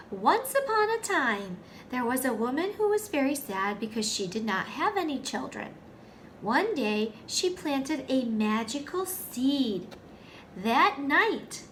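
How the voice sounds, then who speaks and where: A woman teacher reading a story aloud to young children, with simple, deliberate phrasing and questions for her listeners. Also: high-pitched, a bit bright, slight background noise.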